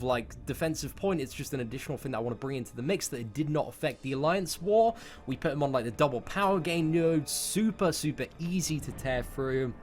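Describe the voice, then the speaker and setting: A young British man in casual, slangy storytime mode, talking to his viewers as a gaming video host with emphatic, sometimes sweary phrasing. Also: medium pitch. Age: young adult.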